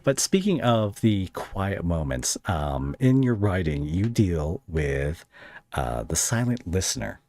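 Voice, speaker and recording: A man hosting a podcast, chatting casually and feeling out his questions haltingly as he thinks aloud. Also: deep voice, sing-song, darkish tone. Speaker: podcast host interviewing a guest. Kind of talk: casual interview chat.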